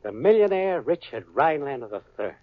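An actor playing a cocky, smooth-talking young schemer in a 1940s radio sitcom, breezy and full of slang. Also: male voice, on the high side, sing-song, fairly quick. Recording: lo-fi old radio broadcast with audience laughter and hiss.

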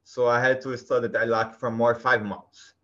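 A man from Brazil with a Brazilian Portuguese accent, answering interview questions in a halting way with many fillers. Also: medium pitch, flat delivery, a bit bright. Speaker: guest. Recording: dull lo-fi sound.

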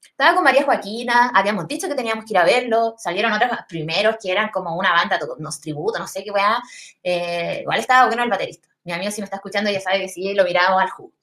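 A woman's voice: medium pitch, racing, a bit bright. A woman in her thirties from Chile, with a Chilean accent, telling a funny personal story in a rambling, slangy way with swearing. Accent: Chilean Spanish. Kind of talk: casual comic storytelling.

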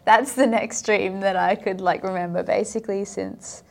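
A woman's voice: mid-range voice, steady tone, moderate pace, creaky, a little mellow. A young woman from South Africa, chatting casually as a podcast guest and filling her talk with "like". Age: young adult. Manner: chatty, filler-heavy, frequent "like". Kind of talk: casual interview chat.

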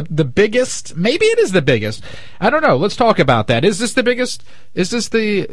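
Stuffed-up, animated radio host man. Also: high voice, no room sound, clean studio sound. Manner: animated, jokey. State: stuffed up nose. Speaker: radio sports show host. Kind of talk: casual sports radio chat. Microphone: studio microphone.

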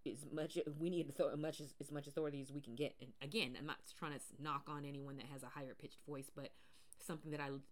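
Woman podcast host, naturally deep low voice, rambling casual monologue with fillers. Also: fairly even intonation, quick pace. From Pacific Northwest, US. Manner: rambling, many fillers and restarts.

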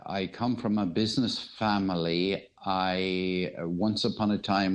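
A middle-aged Irishman with a low-pitched voice, speaking as a guest in a casual podcast conversation. He is reflective and a little hesitant, and modest about himself. He talks into a mobile phone. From Ireland. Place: remote conversation over a podcast app on a mobile.

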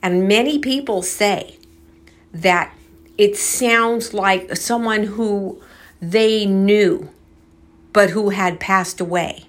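A woman talks to her viewers as a storytelling host. Her delivery is slow and unhurried, with a mid-range pitch. She sounds casual and conversational, musing as she goes. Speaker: host of a paranormal storytelling channel.

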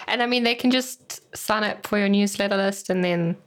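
A woman's voice: medium pitch, moderate pace. A woman hosting an interview, friendly and joking as she asks questions. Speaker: interview host. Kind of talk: podcast interview chat.

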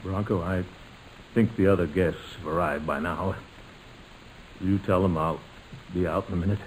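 An actor playing a pompous, jovial, sentimental middle-aged uncle in a 1940s radio comedy, with a theatrical delivery. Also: male voice, low voice, fairly even intonation, moderate pace, natural voice. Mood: stunned, hesitant. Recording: noisy old-time radio recording.

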